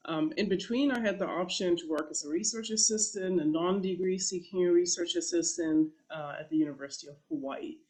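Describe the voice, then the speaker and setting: A woman's voice: on the low side, brisk, few pauses, a little mellow. A woman from Germany with a German accent, a professor presenting to a panel in a conversational way with small restarts. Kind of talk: presentation talk.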